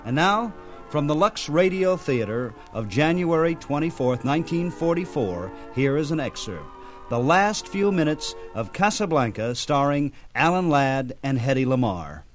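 A man's voice: on the high side, sing-song, normal speed. A man hosting a radio program, reading scripted narration in a presenter's style. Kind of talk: scripted narration about old-time radio. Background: theme music.

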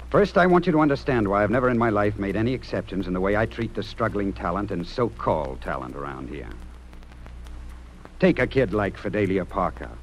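A noisy old radio drama recording of a stern American music judge. His voice is low and swings widely in pitch as he speechifies earnestly, with remorse underneath. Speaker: actor.

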